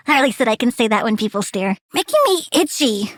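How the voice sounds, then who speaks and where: A woman's voice: on the high side, very animated, moderate pace, no room sound, studio quality. A woman voicing a childlike, sweet and quirky girl plant villain with gleeful menace, as a video game character. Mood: dry, playful. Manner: cutesy, childlike, matter-of-fact about menace.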